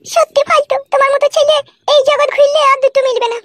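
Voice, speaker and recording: A woman voicing a sweet, talkative young village wife in a comedy cartoon, with a squeaky voice. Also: fairly even intonation, fast talker, dry recording. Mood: sweet, affectionate.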